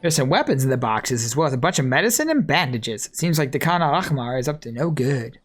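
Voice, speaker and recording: A game streamer giving casual, slangy, excitable live reactions and reading bits aloud. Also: medium pitch, a little fast. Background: game music.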